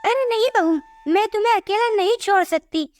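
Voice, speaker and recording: A woman's voice: extremely high pitch, moderate pace. A woman playing a caring, concerned older mother-in-law who admonishes her family in a scripted moral story cartoon. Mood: firm, coaxing. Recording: music behind the voice.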